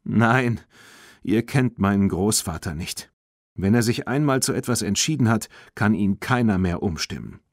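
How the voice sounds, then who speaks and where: A man's voice: fairly low pitch, expressive, normal speed, dry recording, clean studio sound. A man voicing an anxious young girl in an audiobook, earnest and emotional. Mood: discouraged. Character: anxious young girl, worried about her beloved foal.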